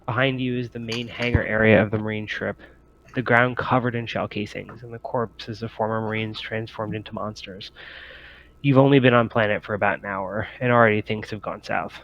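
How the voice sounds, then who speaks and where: A man's voice: lowish voice, fairly even intonation, a little fast, a bit bright, highs cut off, slight background noise. A man working as game master, narrating a sci-fi tabletop roleplaying game in descriptive, improvised storytelling.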